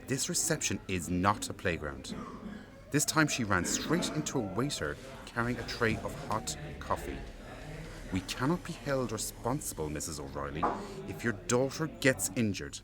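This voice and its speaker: A low, haughty hotel manager in a radio play, with busy chatter around him. His delivery is pompous and formal, rising and falling widely as he scolds with open disdain. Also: big pitch swings, moderate pace. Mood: exasperated, scolding. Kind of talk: radio drama acting. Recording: busy crowd chatter behind.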